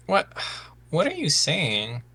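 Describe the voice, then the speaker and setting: A man's voice: a little high, wildly swooping pitch, takes it slowly, creaky, pushed and bright, husky, heavily acted. A man playing a sensitive, anxious misfit son of warriors, hesitant and earnest, often stammering. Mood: nervous.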